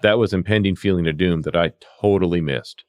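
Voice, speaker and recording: Low-voiced man telling field stories. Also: fairly quick, a little mellow, dry recording. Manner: acts out exchanges with quoted dialogue. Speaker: paramedic and CPR instructor telling field stories. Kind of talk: animated anecdotal storytelling in conversation.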